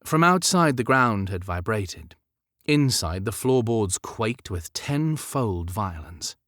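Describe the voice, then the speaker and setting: Low-voiced man narrating a novel. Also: expressive, takes it slowly, a bit bright, no room sound, studio quality. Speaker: audiobook narrator. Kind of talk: scripted narration of historical romance fiction.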